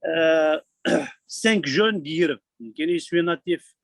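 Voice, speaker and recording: A man from Kabylie speaking abroad, giving an urgent, halting testimony full of repeated words. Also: on the high side, no room sound. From Kabylie, Algeria. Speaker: community member abroad. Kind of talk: impassioned testimony.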